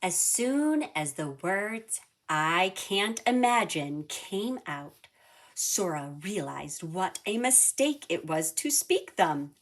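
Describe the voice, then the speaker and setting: A woman reads a children's story aloud with very lively, swooping intonation. Her voice sits a little low, and she takes her time, shaping each phrase deliberately for young listeners. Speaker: storybook reader. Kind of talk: expressive children's read-aloud narration.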